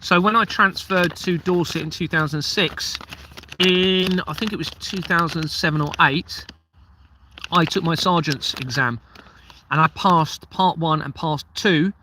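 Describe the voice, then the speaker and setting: A man's voice: on the high side, unhurried, pushed and bright, slight background noise. A man, hosting a casual livestream, reading out viewer comments and rambling earnestly while sometimes losing his train of thought. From Kent, southeast England. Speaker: livestream host, former police officer.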